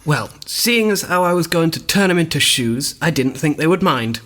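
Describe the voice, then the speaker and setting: Man playing a shameless old-time cobbler, high voice, very animated, cheeky, comic radio drama. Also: moderate pace. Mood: cheeky, protesting. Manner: cheeky, protesting, wheedling. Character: cheeky, shameless male shoemaker villager of olden times. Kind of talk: comic historical audio drama.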